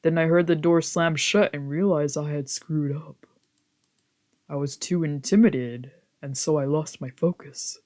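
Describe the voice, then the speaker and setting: A casual game streamer with a mid-pitched voice. They read story text aloud and break off into loose, sweary commentary and exclaimed reactions. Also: steady tone, unhurried, darkish tone. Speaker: streamer doing a let's play.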